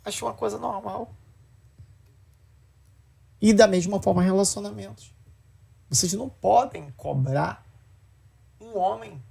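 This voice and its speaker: A man's voice: sits high, vocal fry, warm tone, breathy edge. A man giving blunt, lecturing opinions, mocking the views he argues against. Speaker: podcast commentator. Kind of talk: opinionated podcast talk.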